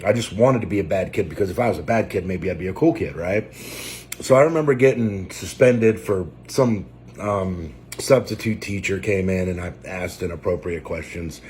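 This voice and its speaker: A man in his forties from Maine with a Maine accent, telling his life story unscripted, halting and close to tears. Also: deep voice, darkish tone, slight background noise. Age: 40s. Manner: halting, many fillers and restarts. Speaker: trucker and preacher. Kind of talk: unscripted personal testimony.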